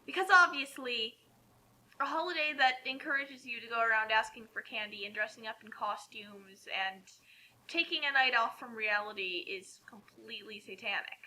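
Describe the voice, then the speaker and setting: Casting: American woman, wry and talkative co-host, fairly high, very bright forceful voice, casual chat. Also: moderate pace, rough recording. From United States.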